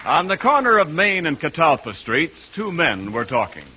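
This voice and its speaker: A man's voice: a little high, big pitch swings, normal speed, sharp tone, slightly rough, a faint crowd, poor audio. A male announcer reading a 1940s radio advertisement in an emphatic, declarative sales style.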